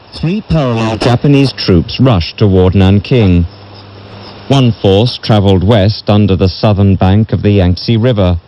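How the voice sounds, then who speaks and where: A man reading a history book as a narrator in a measured, solemn way, heard over a shortwave radio broadcast. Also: lowish voice, dull audio. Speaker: audiobook narrator. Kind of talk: scripted literary history narration.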